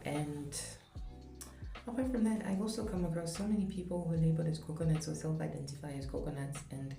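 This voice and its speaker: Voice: mid-range voice, a little fast, vocal fry, warm tone. A young adult vlogger from Zambia, speaking in an earnest, candid opinion monologue with light laughs. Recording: echoey room with background music.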